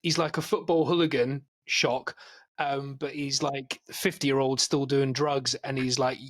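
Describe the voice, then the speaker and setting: A man's voice: medium pitch, flat delivery, fairly bright tone. A Scottish man hosting a podcast, rambling and joking in casual banter. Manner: rambling, jokey, quick to laugh. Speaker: podcast host.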